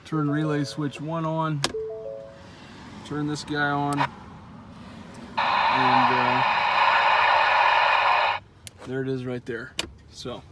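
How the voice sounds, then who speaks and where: A man's voice: a little high, extremely slow, long pauses, over loud music, rough recording. A man giving a casual, folksy how-to walkthrough of a truck install outdoors, with frequent "uh" fillers. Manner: relaxed, lots of "uh" fillers, folksy. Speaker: truck owner showing an install.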